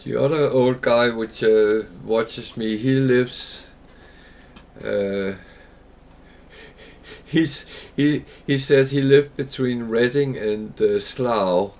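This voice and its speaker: A man's voice: mid-range voice, slow, a little mellow. A man from Denmark with a Danish accent, chatting casually and hesitantly while livestreaming a game. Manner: chatty, rambling, hesitant with fillers. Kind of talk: casual gameplay livestream chat.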